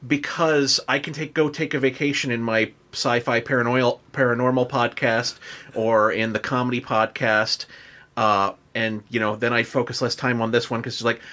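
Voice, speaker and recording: Halting male podcast host, mid-pitched. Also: flat delivery, pushed and bright. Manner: halting, rambling, repeats words. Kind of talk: casual chat.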